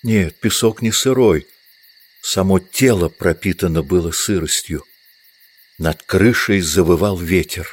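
A male narrator reads literary prose for an audio drama. His voice is very low and deep. The delivery is scripted storytelling.

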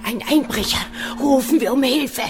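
A woman playing a dutiful, excitable household servant in a radio drama, with a deferential way of talking. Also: high voice, normal speed, soft background music, lightly acted. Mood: alarmed. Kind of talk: German radio drama acting.

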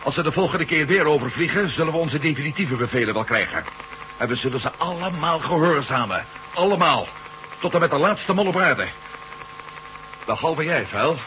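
Ex-astronaut hero, bright forceful voice, fairly high, serious, decisive, radio drama, faint noise. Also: male voice, lively intonation, moderate pace. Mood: urgent. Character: ex-astronaut reporter, male adventure hero, intense and decisive. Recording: faintly noisy humming radio recording.

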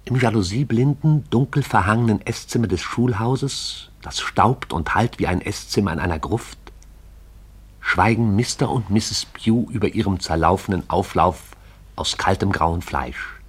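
An actor as the narrator of a poetic radio drama, telling it in a lyrical, wry storytelling manner. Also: male voice, on the low side, a little slow, slightly rough.

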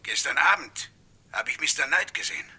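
A man's voice: fairly high pitch, animated, brisk, pushed and bright, breathy edge, heavily acted. An actor playing a chatty barkeeper turned eager witness in a German radio crime drama, hesitant and rambling as he informs. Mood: chatty, confiding.

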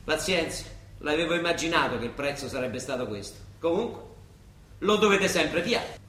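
An actor playing a rebellious, disillusioned middle-aged priest in a radio drama, wry and plain-spoken with a Roman accent. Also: male voice, fairly high pitch, lively intonation, moderate pace, a bit bright. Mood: defiant, weary. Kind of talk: Italian stage-style radio drama. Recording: echoey stage recording, distant mic, hiss.

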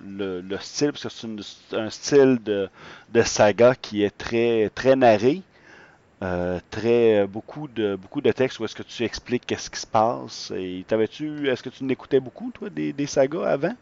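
A man's voice: mid-range voice, expressive, moderate pace, gravelly, darkish tone, highs cut off. A man with a Québécois French accent interviewing someone in a casual, chatty way. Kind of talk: casual chat.

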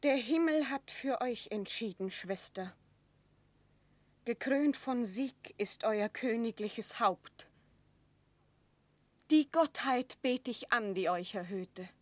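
A woman's voice: fairly high pitch, animated, takes it slowly, pauses often, no room sound. An actress playing a proud, wronged captive queen in a classical radio drama, pleading in solemn, declamatory verse. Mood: humbled, pleading. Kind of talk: classical German stage drama radio play.

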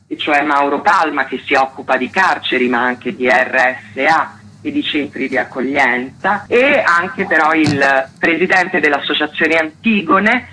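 Male author, radio interviewee. Bright, forward voice on the high side; articulate, earnest, explanatory. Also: unhurried, slight background noise, rough recording. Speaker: book author interviewed about an essay collection.

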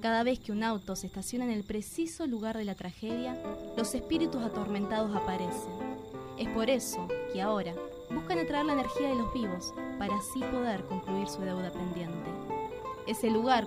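A woman's voice: fairly high pitch, close to an everyday voice. A woman playing a mysterious teller of a haunted-road legend, narrating dramatically for a radio play.

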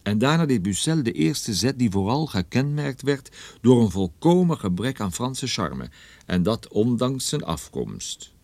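A man's voice: mid-range voice, big pitch swings, measured pace, a little mellow, no room sound. A man playing a private detective who narrates his own radio drama with dry, ironic, literary phrasing. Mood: wry, bemused. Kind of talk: scripted first-person radio drama narration.

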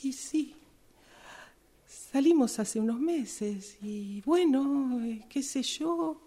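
A woman playing an anxious but determined pregnant schoolgirl in an improvised radio drama, with an Argentine accent and a hesitant, breathy delivery. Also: sits high, lively intonation, warm tone, full character voice. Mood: anxious, halting. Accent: Argentine Spanish. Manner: hesitant, halting.

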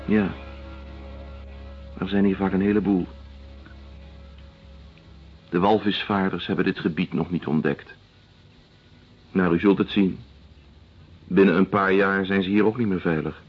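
An actor playing a cool, fatalistic ship's doctor in a radio drama, matter-of-fact and deliberate. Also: male voice, deep voice, steady tone, breathy edge, faint music behind. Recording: muffled lo-fi old radio play recording.

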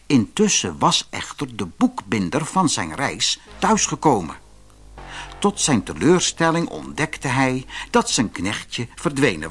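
Man, Dutch accent from the Netherlands, husky voice, animated pitch, slow fairy-tale audiobook narration.

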